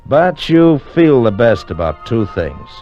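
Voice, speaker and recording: A man's voice: mid-range voice, very animated, a little slow, mellow, soft background music. A man acting as a confident, easygoing navy narrator in an old-time radio docudrama, with a folksy, assured way of explaining things. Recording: dull lo-fi vintage radio recording.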